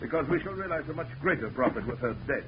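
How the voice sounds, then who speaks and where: A man's voice: on the high side, expressive, fast talker, lightly acted. An actor playing a ruthless male villain who speaks in a formal, precise, menacing way, in an old radio drama. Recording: hissy, muffled 1940s radio recording.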